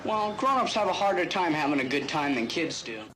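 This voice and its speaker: A patient woman explaining grown-up things to a child, her lowish voice lilting up and down. The old film soundtrack is noisy. She talks gently and plainly. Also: animated, a little fast.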